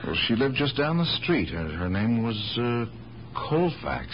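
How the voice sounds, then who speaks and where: An actor playing an earnest, protective police detective nephew in a radio drama, instructive and exasperated. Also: male voice, mid-range voice, big pitch swings, a little slow. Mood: grave. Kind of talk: 1970s radio drama acting. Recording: dull old radio recording, faint noise.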